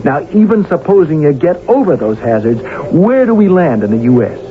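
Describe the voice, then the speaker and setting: An actor playing a level-headed, wary airline captain in a 1970s radio drama, plain-spoken and urgent. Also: male voice, a little high, very animated, a little fast. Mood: pressing. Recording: tinny, hissy old radio drama.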